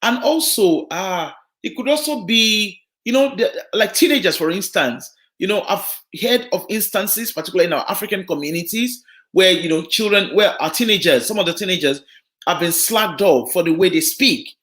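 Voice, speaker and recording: A woman's voice: medium pitch, animated, normal speed, fairly bright tone. A woman from Nigeria with a Yoruba accent, giving an earnest, explanatory live broadcast talk on social work, full of fillers and restarts. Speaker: social work guest speaker.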